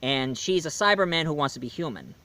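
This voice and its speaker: A man's voice: fairly high pitch, animated, a little fast, bright, forceful tone. A man talking casually to camera, announcing his own audio drama in a rambling, enthusiastic way with frequent restarts. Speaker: YouTube reviewer.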